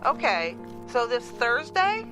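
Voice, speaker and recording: A woman's voice: a little high, sing-song, slow pace. A woman playing an eager, caring mother of a grown son in natural audio drama dialogue. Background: music.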